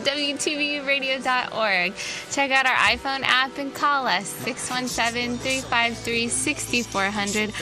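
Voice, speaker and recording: A woman hosting a college radio show in a higher voice. She reels off upbeat station announcements while music plays clearly underneath. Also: low-quality recording.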